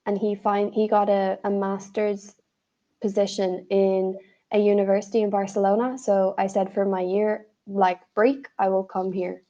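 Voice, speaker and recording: A woman's voice: mid-range voice, flat delivery, warm tone, dull audio. Irish woman tutoring over a video call. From Ireland. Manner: friendly, encouraging, quick affirmations. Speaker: online English tutor. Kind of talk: casual video-call conversation lesson.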